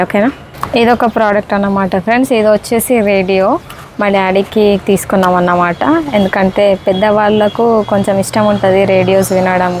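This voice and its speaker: A woman's voice: medium pitch, slight background noise. Telangana Telugu woman casually demonstrating products. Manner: repeats checking tag questions to viewers. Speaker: host of unboxing video.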